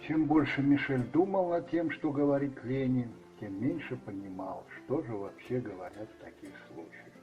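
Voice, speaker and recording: A man's voice: mid-range voice, normal speed, hardly any pauses. A man narrating a radio play in a brooding, theatrical way with emphatic stresses. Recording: dull muffled sound with music behind. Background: music.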